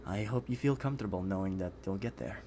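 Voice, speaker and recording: An actor playing a supportive, excitable young friend in an ASMR roleplay. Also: low-pitched, fast, slight background noise. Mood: curious, gentle.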